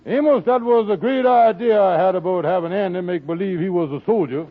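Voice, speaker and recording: An actor playing a scheming, fast-talking lodge boss in a 1940s radio comedy. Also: male voice, high voice, slight background noise, lightly acted. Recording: tinny old radio broadcast.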